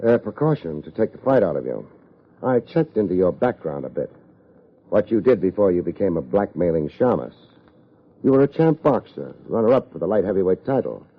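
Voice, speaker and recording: An American actor playing a tough, wry private investigator in 1950s radio detective style, with a husky voice and clipped, sardonic delivery. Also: male voice, low voice, big pitch swings, a little fast, full character voice. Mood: hard, accusing. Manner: clipped, hard-boiled, sardonic. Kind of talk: 1950s radio detective acting and first-person narration. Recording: muffled vintage radio recording.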